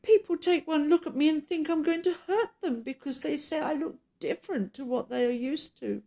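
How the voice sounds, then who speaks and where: A woman's voice: sits high, moderate pace, warm tone, voice pushed up. A woman voicing a lonely, misunderstood male beast in a children's storybook, pleading and sobbing. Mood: apologetic, sad.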